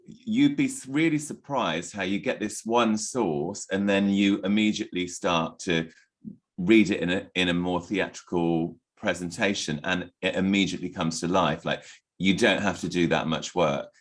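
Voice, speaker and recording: A man answers a question on a panel in a loose, chatty way. His voice is very low. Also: normal speed. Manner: casual, loose, with fillers like "like". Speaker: project team member on a panel.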